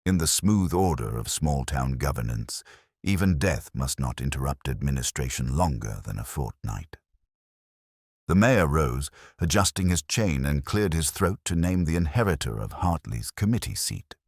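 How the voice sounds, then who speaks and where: A man with an extremely low voice narrates a mystery story in a measured, literary way. His pitch moves in lively swings, and the sound is close and dry with no room on it. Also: breathy edge, dry recording.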